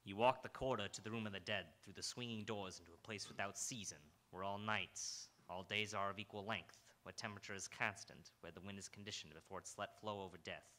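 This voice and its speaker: A man's voice: on the low side, fairly even intonation, fairly quick, few pauses, pushed and bright. A man playing a hard-boiled, world-weary New York police detective in old-time radio noir style, terse and brooding. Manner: terse, brooding, poetic phrasing. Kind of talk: old-time radio noir acting, second-person narration.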